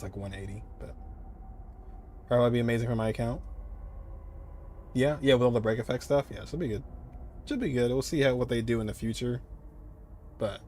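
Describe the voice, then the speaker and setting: A man's voice: medium pitch, darkish tone, a little hiss or hum. A man live-streaming a game, chatting casually and rambling as he answers viewers, often repeating his phrases.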